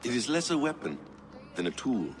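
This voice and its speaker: An actor playing a calm, wise mentor of a secret brotherhood, speaking in a measured, instructive way in a game cutscene. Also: male voice, medium pitch, natural voice. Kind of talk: video game cutscene acting.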